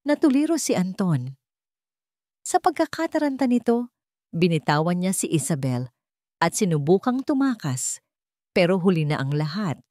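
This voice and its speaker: A woman with a Filipino accent narrates a dramatic story. Her pitch is a little low and moves widely from phrase to phrase, and the recording is close and dry. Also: a little mellow, dry recording.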